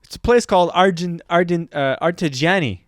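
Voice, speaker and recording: Guy on a podcast, kinda high voice, really smooth and clean, dry sound, chill and swears a lot. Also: a little fast, no room sound. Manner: laid-back, swears casually, laughs often. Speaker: podcast co-host. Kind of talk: casual chat.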